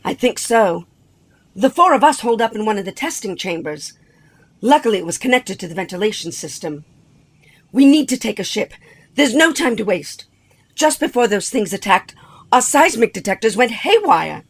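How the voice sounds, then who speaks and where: An actress playing a wary, practical scientist on the run, with a husky voice, in an audio drama. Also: female voice, medium pitch, very animated, normal speed. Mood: tense, urgent.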